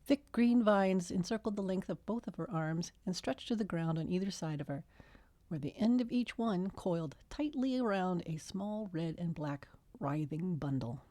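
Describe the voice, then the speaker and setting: A woman's voice: fairly low pitch, expressive, normal speed, mellow, dry recording. A woman author reading her own fiction as scripted storybook narration.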